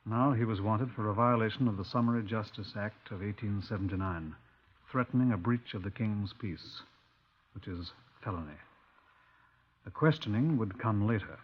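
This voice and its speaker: A courteous, composed detective inspector of 1950s radio drama. He speaks in a measured, formal way with a slightly husky grain. The recording is old and muffled. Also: lowish voice. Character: composed male Scotland Yard inspector, polite, inquiring.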